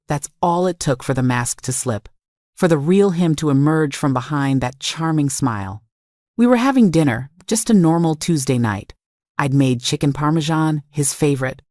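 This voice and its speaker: A woman's voice: sits low, lively intonation, normal speed, darkish tone, no room sound, clean studio sound. A woman reading a scripted first-person dramatic story in a confessional, emphatic way. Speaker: story narrator.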